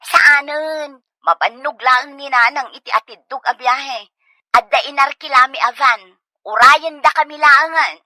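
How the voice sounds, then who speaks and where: An actress with a Filipino accent playing a brisk, generous elder sister home from abroad in a comedy audio drama, chirpy and assertive. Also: female voice, high voice, pushed and bright, dry recording, exaggerated voice. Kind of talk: Ilocano comedy radio drama acting.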